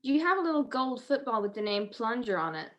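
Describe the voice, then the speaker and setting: A woman playing a quick-witted, wry amateur sleuth in a radio-style mystery, with a teasing manner. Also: a little high, sing-song, a bit bright, highs cut off. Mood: wry, probing. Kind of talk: 1940s radio mystery acting.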